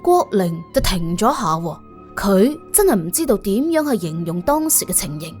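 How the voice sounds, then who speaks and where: Cantonese woman narrating a detective audiobook, really animated, soft music under her. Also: medium pitch, moderate pace. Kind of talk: expressive scripted detective-story narration.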